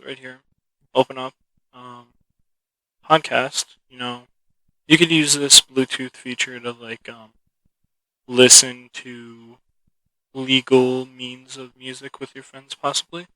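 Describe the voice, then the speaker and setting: Bright-voiced guy rambling through a gadget review. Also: mid-range voice, takes it slowly, pauses often, dry recording, low-quality recording. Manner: rambling, relaxed, thinking aloud. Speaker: product reviewer. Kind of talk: casual unscripted product review. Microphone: cheap mixer-connected microphone.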